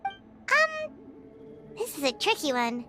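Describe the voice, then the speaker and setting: A woman voicing a tiny, curious, earnest childlike companion in a video game, squeaky and inquisitive. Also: huge pitch swings, slow, bright, forceful tone, full character voice. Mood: unsure. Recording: prominent game music behind the voice.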